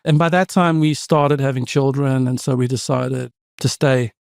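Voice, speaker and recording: A South African man in his fifties, with Afrikaans as his first language, telling his story as a podcast guest. He talks in a reflective, meandering way, full of asides, at a middling pitch. The sound is dry and close. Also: fairly even intonation, normal speed, gravelly, a little mellow, clean tone, dry recording. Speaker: podcast guest, tech founder. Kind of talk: conversational interview storytelling.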